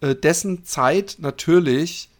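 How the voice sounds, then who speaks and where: Higher-voiced man in casual podcast banter. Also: slow. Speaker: podcast co-host. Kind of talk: casual humorous podcast chat.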